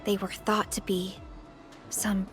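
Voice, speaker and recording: A woman's voice: medium pitch, little pitch movement, slow pace, a bit bright, soft background music. A woman voicing a composed, studious young officer in a video game, earnest and precise as she explains things. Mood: thoughtful, serious. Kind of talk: video game dialogue acting.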